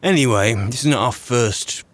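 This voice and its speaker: A man's voice: medium pitch, normal speed, close to an everyday voice. A man roleplaying a wry, boastful archmage adventurer, muttering sardonic asides in colloquial speech as he plays. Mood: irritated, boastful. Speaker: roleplaying gamer narrating in character as an adventurer mage. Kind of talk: in-character game roleplay commentary.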